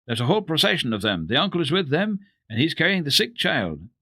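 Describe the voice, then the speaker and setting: A man's voice: a little high, very animated, quick pace, pushed and bright, breathy edge, no room sound, pitched above the performer's own voice, full character voice. A man voicing an alarmed village woman in a storybook reading, exclaiming urgently. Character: alarmed young village woman announcing visitors.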